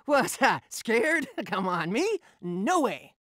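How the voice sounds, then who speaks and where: A man's voice: sits high, sing-song, takes it slowly, fairly bright tone, no room sound, studio quality, exaggerated voice. A man playing an anxious, boastful teenage boy superhero sidekick in a cartoon, defensive and melodramatic. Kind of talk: cartoon voice acting. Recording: music behind the voice.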